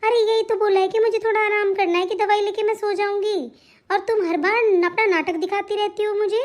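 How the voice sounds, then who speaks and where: A woman's voice: extremely high pitch, level tone, brisk. A woman voicing a long-suffering elderly mother-in-law in a family cartoon drama, meek and complaining. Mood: weary, aggrieved. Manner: plaintive, complaining, placating.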